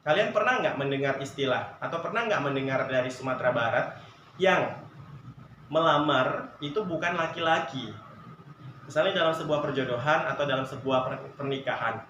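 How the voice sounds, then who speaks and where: A male sociology teacher giving an online lesson, explaining through examples, asking the class rhetorical questions and filling pauses with "nah.". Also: on the high side, dull audio. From Javanese heritage, Indonesia. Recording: echoey room with faint noise.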